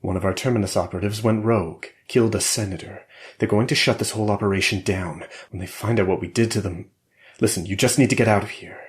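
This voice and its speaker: A man's voice: lowish voice, steady tone, fast talker, close to an everyday voice. A man voicing a blunt male covert operative giving urgent warnings, in a spoken story.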